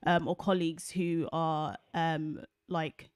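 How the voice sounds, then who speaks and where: A woman's voice: low-pitched, level tone, slow, clean tone, no room sound, studio quality. A woman co-hosting a casual faith discussion, speaking frankly and reflectively. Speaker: podcast co-host.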